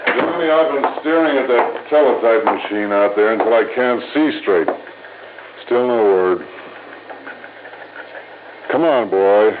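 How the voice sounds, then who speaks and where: A man's voice: mid-range voice, measured pace, pauses often, close to an everyday voice. Man acting a blustery police lieutenant, tinny radio. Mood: suspicious, needling. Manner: blustery, insistent, knowing. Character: gruff, suspicious, protective male police lieutenant. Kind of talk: 1950s radio drama acting. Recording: tinny, noisy old radio recording.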